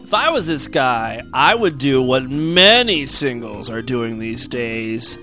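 A man's voice: medium pitch, sing-song, slow, bright, forceful tone, faint music behind. A man hosting a comedy podcast, reading odd news stories and voicemails in a crude, mocking way and laughing at his own jokes. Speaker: podcast host.

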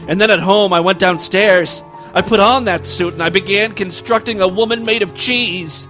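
A man's voice: sits high, normal speed, pushed and bright, faint music behind. A man voicing a manic, lecherous husband in a theatrical, grandiose comic story. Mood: confessional, desperate.

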